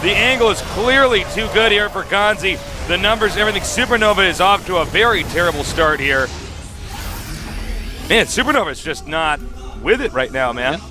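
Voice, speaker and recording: A man doing excitable, jokey play-by-play commentary on a strategy game match. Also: high voice, over music. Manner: excitable, jokey, quick to exclaim. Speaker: esports commentator.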